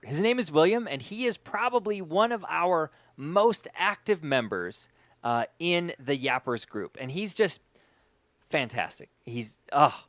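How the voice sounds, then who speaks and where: A man's voice: fairly high pitch, fairly bright tone, no room sound. A man hosting a podcast in casual chat, speaking emphatically and often repeating words for emphasis. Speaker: podcast host.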